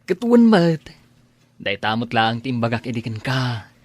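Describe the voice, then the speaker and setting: Cocky young buddy, swooping animated voice male actor. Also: mid-range voice, unhurried, dry recording. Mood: confident. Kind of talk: radio drama acting.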